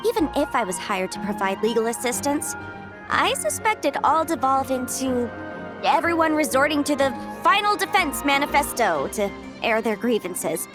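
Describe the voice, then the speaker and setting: High, chirpy, animated horned girl. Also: normal speed, music in the background. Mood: playful, amused. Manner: animated, playful, breezy and talkative. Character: chirpy, mischievous young half-adeptus legal consultant with horns. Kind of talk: video game voice acting.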